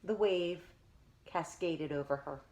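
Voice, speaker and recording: A woman's voice: lowish voice, slow, darkish tone. A woman teacher reading a picture book aloud, stopping to explain things to young children.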